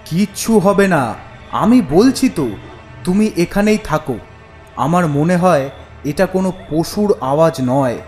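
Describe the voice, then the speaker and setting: A man's voice: on the high side, animated, unhurried, long pauses, a little mellow, soft background music. A man voicing a defiant, fearless young village son in a horror cartoon, speaking in a bold, resolute way. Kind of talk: animated horror cartoon acting.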